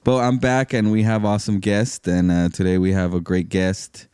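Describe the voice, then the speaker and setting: A man hosts a podcast in a low, mellow voice with a clean, smooth tone. The sound is close and dry, and he talks in casual, slangy chat. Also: little pitch movement, moderate pace, no echo. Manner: informal, slangy. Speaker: podcast host.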